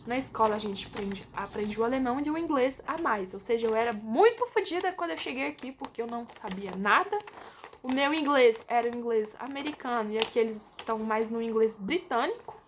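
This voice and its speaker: A young woman with a Brazilian Portuguese accent talks to her camera in a loose, chatty way, full of fillers and asides. Her voice sits a little high for a woman. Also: expressive, brisk, a little hiss or hum. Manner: chatty, informal, lots of fillers, reads from a script. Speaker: vlogger. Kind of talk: casual chat to camera.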